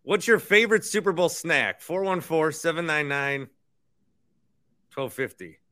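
A man's voice: a little high, lively intonation, a little slow, bright, forceful tone. A man co-hosting a casual sports podcast with a dry, joking way of talking. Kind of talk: casual sports chat with sponsor reads.

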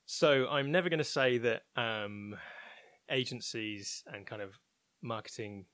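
A man's voice: mid-range voice, a little slow, a bit bright, no room sound. Man, informal and candid, close dry sound. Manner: informal, candid, thinking aloud. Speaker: interview guest, technology researcher at a broadcaster. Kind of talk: conversational interview, explaining opinions.

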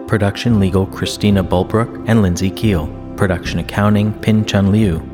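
A man reading scripted credits as the announcer of an audio production. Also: low-pitched. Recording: long reverb, music under the voice.

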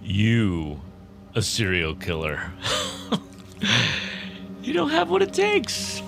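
Condescending office boss, audio drama, low voice, swooping intonation, halting, self-absorbed. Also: male voice, wildly swooping pitch, vocal fry, over music, heavily acted. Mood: giddy mockery. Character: smug, condescending male boss, suspicious, self-absorbed, turning giddy. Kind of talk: naturalistic audio drama acting.

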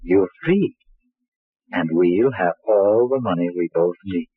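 An actor playing a resentful, defiant lover, blunt and insistent, in an old radio melodrama. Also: male voice, low-pitched, animated, slow pace, slightly rough, no echo, heavily acted. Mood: bitter, blunt. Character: bitter, defiant male lover, resentful of rich cousin. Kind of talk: 1940s radio melodrama acting. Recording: dry, tinny old radio recording.

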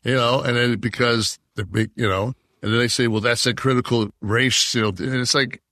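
A man's voice: medium pitch, fairly even intonation, moderate pace, bright, forceful tone, no room sound, studio quality. A man in his sixties from Detroit, co-hosting a podcast and talking in a heated, profane, rambling way. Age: 60s. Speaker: podcast co-host. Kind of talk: casual chat.

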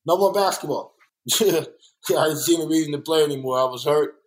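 Earnest man talking, slightly high voice. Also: a little fast. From born in Kansas City, raised in South Central Los Angeles. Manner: earnest, reflective, repeats points for emphasis. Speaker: muralist and guest. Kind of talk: candid conversational interview.

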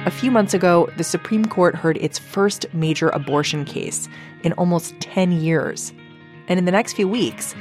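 A woman's voice: lowish voice, darkish tone. An American woman reading scripted radio documentary narration on a close studio microphone.

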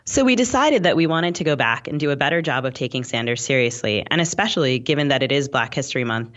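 A woman's voice: a bass voice, lo-fi sound. An American woman co-hosting a podcast, speaking partly from a script and partly in conversation. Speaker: podcast co-host.

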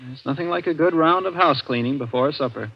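An actor playing an easygoing, practical young husband in 1940s radio suspense, casual and agreeable. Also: male voice, fairly high pitch, fairly quick, gravelly. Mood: puzzled, agreeable. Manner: casual, plain-spoken, agreeable. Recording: muffled, noisy old radio recording.

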